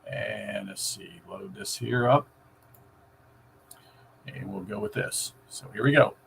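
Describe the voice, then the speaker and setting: Male explainer vlogger, low pitch, folksy and halting, casual delivery over faint noise. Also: sing-song, gravelly.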